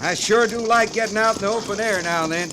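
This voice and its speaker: A man's voice: sits high, fast, lightly acted. Male sergeant, acted, 1950s radio western: plainspoken, dutiful, easygoing, on a plainly noisy vintage recording. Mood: contented. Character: dutiful, easygoing male army sergeant, frontier scout liaison.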